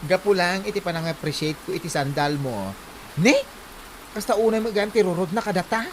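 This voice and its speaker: A woman's voice: fairly low pitch, lively intonation, a little fast, slight background noise, close to an everyday voice, poor audio. A woman acting a gushing, gossipy village best friend in an Ilocano comedy drama, with an Ilocano accent.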